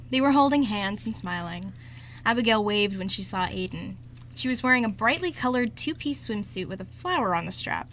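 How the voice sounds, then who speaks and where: A woman's voice: medium pitch, a bit bright, a little hiss or hum. A woman narrating a scripted story as host of an amateur radio show.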